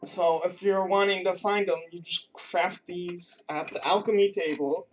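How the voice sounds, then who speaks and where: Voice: medium pitch, steady tone, a little slow, soft background music, rough recording. A gamer giving casual commentary while playing a video game. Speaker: gaming streamer.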